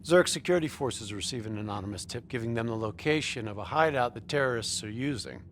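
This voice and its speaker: Guy playing cocky sweary squad leader in a shooter game, punchy briefing, pitch jumps around, bit of background noise. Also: lively intonation, quick pace, a bit bright, close to an everyday voice. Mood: sardonic, brisk. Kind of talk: video game cutscene acting.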